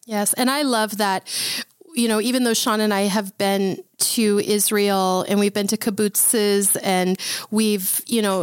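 A woman's voice: medium pitch, clean tone, no room sound. A woman hosting a podcast interview, talking conversationally and with emphasis as she introduces her guest and the topic. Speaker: podcast host.

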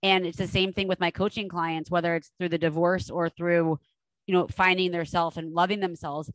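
A woman's voice: on the low side, little pitch movement, normal speed, no room sound, rough recording. A woman podcast host and coach in a casual interview, enthusiastic and affirming, laughing often.

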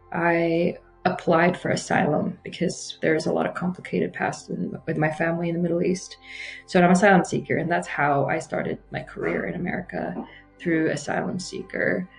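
A woman in her thirties, from Iraq and raised in Abu Dhabi, telling her career story in a measured, reflective way. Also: fairly low pitch, gravelly, rough recording. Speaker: architect interviewee. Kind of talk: personal testimonial.

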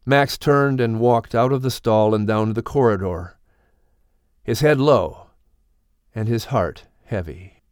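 A man narrating an audiobook story in a solemn, gentle storytelling manner. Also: mid-range voice, takes it slowly, clear, resonant tone, no room sound, studio quality.

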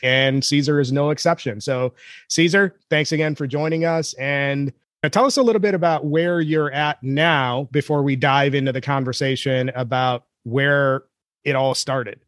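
A man's voice: medium pitch, fairly even intonation, moderate pace, fairly bright tone, no echo, clean studio sound. A man hosting a business podcast in an upbeat, jokey way, calling himself a nerd. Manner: enthusiastic, jokey, self-described nerd. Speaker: podcast host.

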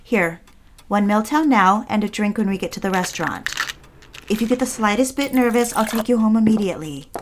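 A woman's voice: mid-range voice, a little fast, slight background noise, natural voice. A woman playing an eager, lonely society housewife in a period audio drama, genteel and coaxing in manner. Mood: bossy, bright.

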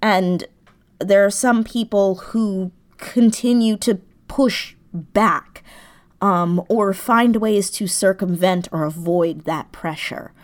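A woman's voice: medium pitch, slow. A woman speaking as an interview guest, explaining her creative thinking in a casual, thoughtful way, searching for words as she goes. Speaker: guest, audio drama writer.

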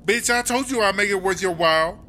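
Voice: mid-range voice, brisk, sharp tone, natural voice. An actor playing a brash, streetwise female prison inmate with slangy, emphatic talk in a comedy audio drama. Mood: brash, bargaining.

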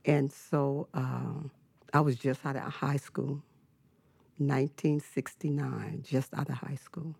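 An older woman from California with a low, gravelly voice, recorded dry and close with no room sound. She speaks as a guest, telling her life story slowly and reflectively, pausing often. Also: steady tone, dark tone, no echo. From California, United States. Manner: slow, reflective, with frequent pauses and fillers. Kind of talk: personal storytelling in an interview.

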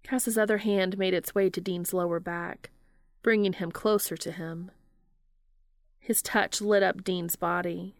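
A woman's voice: on the low side, steady tone, unhurried, no room sound. A woman podcast host reading scripted narration in the style of an audiobook. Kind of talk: scripted fan fiction narration.

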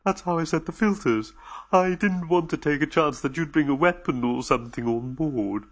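Male reader voicing a timid alien host, anxious and apologetic, pitched up, slightly husky. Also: moderate pace.

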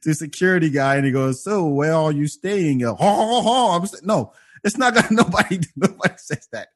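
A man who grew up in France, hosting a casual podcast and bantering with animated indignation. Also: on the high side, brisk. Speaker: podcast host.

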